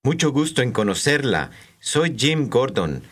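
A man reading scripted language-course dialogue, enunciating slowly and carefully for learners. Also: mid-range voice, sing-song, a bit bright.

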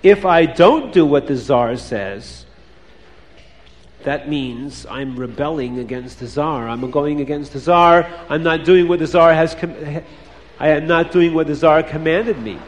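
A man's voice: a little high, a little slow, natural voice. A man voicing an anxious soldier in a story, reasoning through his trouble aloud in worried, repetitive phrases. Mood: worried, cornered. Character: anxious, quick-thinking young soldier in trouble, fretting. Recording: long hall reverb.

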